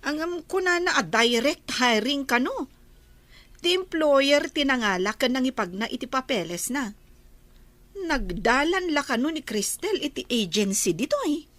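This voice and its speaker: A high, animated female voice playing a chatty village gossip as a woman acts her. She relays news haltingly in radio-drama style, recorded close and dry. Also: no room sound.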